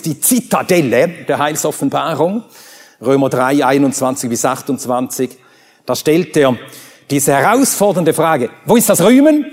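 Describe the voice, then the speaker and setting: A man whose first language is Swedish, preaching a sermon and reading scripture, emphatic and rising to high ringing exclamations. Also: a little mellow, slightly rough. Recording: long reverb of a large hall.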